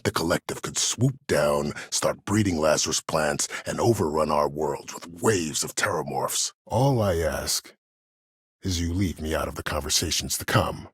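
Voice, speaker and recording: An actor playing a calculating, courteous older military schemer in a video game, speaking in a measured, formal, silkily polite and menacing way. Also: male voice, extremely low pitch, husky, dry recording, heavily acted. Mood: calm, calculating. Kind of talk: dramatic video game voice acting.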